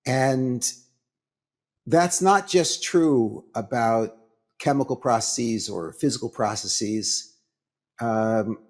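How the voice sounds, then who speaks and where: A man giving a religious lecture as a rabbi, with halting, deliberate phrasing and emphatic pauses. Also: medium pitch, darkish tone.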